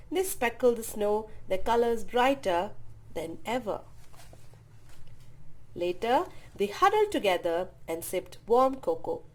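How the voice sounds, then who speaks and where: A woman storyteller reading a children's picture book aloud with expressive, drawn-out emphasis. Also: on the high side, rough recording.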